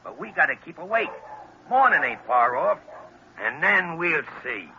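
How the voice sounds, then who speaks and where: Tough, hard-edged gangster boss. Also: male voice, a little high, big pitch swings, a little slow, pushed and bright, exaggerated voice. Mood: scheming. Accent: American. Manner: tough, commanding, slangy. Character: ruthless, scheming American gangster boss. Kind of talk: 1930s radio acting. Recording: noisy, muffled 1930s radio recording.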